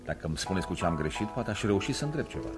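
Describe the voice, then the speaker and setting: An actor in a radio play playing an ambitious, guarded young man with a husky voice and a deferential, self-interested way of talking. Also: deep voice, big pitch swings, over music. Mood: deferential, uneasy. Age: young adult. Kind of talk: radio theatre acting.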